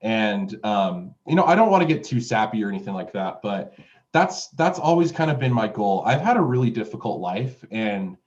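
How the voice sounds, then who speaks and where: A man's voice: lowish voice, big pitch swings, normal speed, darkish tone. A man in his twenties from Utah, a music producer telling his story in an interview, rambling with lots of fillers and restarts. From Utah, USA. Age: 20s. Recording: dull, lo-fi sound.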